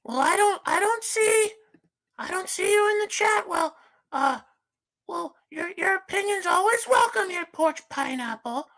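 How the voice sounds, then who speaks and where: A man voicing a gushing woman host in falsetto on a comedic livestream, starting almost every line with "well". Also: expressive, a little slow, sharp tone, exaggerated voice, poor audio. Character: shrill fake woman hostess, gushing. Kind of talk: comedic livestream character bit.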